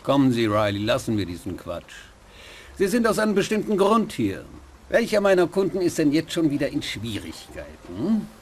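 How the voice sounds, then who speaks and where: An actor playing a smug, worldly nightclub owner in a dubbed detective game, with a condescending, drawling manner. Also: male voice, on the high side, huge pitch swings, normal speed, husky, exaggerated voice.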